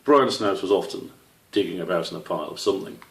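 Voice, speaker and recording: A man with a lowish, gravelly voice reads a children's story. He is measured and expressive, heard in an echoey room at some distance from the microphone. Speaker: author reading his own book. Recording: roomy echo, distant microphone.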